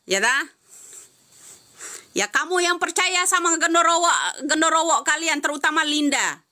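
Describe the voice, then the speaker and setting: Woman, heated live-stream commentary, repeated rhetorical questions, bright, forceful tone. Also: high-pitched, moderate pace.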